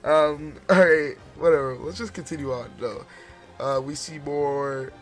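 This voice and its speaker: A man's voice: a little high, fairly even intonation, measured pace, faint music behind. A man losing his voice, forcing it out as he chats casually through gaming trailer commentary, rambling with many fillers and restarts. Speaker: gaming video host.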